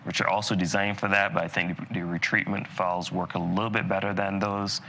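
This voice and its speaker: A man with a very low, bright and forceful voice, from Barbados, lecturing dentists live in a relaxed, conversational way full of fillers and asides. Faint noise sits under the recording. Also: brisk, creaky. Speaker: dental lecturer. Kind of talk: live continuing-education lecture.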